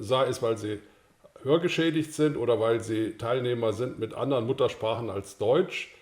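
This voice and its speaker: An older man, a native German speaker, calmly explaining a screencast tutorial to course participants, with the odd filler sound. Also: mid-range voice, roomy sound. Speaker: course instructor.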